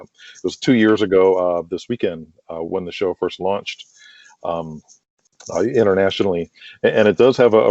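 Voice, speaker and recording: A man's voice: low voice, dark tone, no echo, highs cut off. A man chatting casually and enthusiastically as an interview guest. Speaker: podcast guest, wrestling promoter and radio drama creator.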